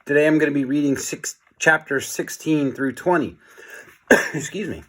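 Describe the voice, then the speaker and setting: A man's voice: fairly high pitch, normal speed, fairly bright tone. A man reading a children's story aloud, with casual asides in the manner of a teacher.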